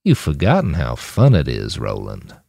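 A man voicing a smug, amused, amoral male warmonger in an audiobook, self-satisfied and persuasive. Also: rumbling low, huge pitch swings, moderate pace, vocal fry, no room sound, studio quality, voice pushed down, full character voice. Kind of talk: audiobook character voice.

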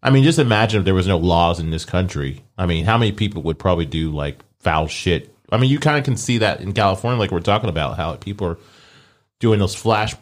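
Laid-back male co-host with a low voice. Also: animated, quick pace. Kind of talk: casual podcast chat.

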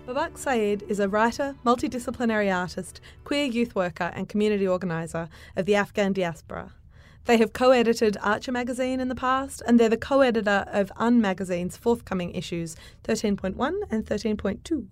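A woman's voice: mid-range voice, fairly quick, no echo. A woman reading a scripted introduction as an announcer.

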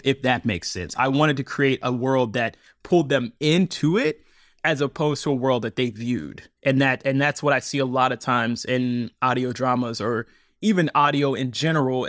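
A man talking casually as a podcast guest, animated and laughing often, repeating words as he thinks aloud. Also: mid-range voice, no echo, studio quality. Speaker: podcast guest, audio drama maker. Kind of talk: casual interview chat.

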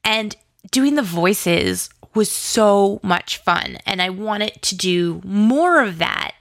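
A woman's voice: medium pitch, lively intonation, slow pace, no room sound, clean studio sound. A woman speaking casually as an interview guest, in informal, hesitant phrasing peppered with "like". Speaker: guest, audio drama co-creator and writer.

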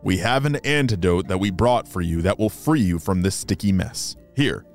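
Storyteller doing a low-voiced male spy team leader. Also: lively intonation, faint music behind. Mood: calm, instructing. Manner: deliberate, instructing, reassuring.

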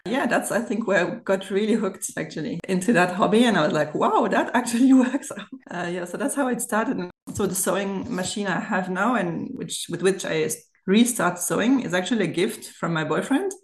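A woman's voice: mid-range voice, a little fast, few pauses. A woman in her thirties with a German accent, talking in a chatty, informal way as an interview guest. Her voice sits mid-range and comes through with a noticeable room echo. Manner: chatty, giggles often as she talks. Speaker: podcast guest. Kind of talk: casual chat. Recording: roomy, echoey remote recording.

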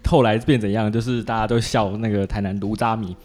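A man's voice: on the low side, fairly even intonation, brisk, darkish tone. A man with a Taiwanese Mandarin accent hosting a casual interview in a joking way, often repeating short responses. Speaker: podcast host.

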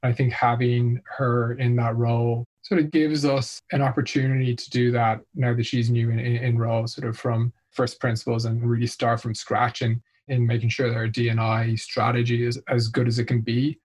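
A man from Ireland speaks as an executive guest in an interview, with a husky, slightly rough voice at a middling pitch. He explains his thinking in a conversational, measured business register. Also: level tone, a little mellow.

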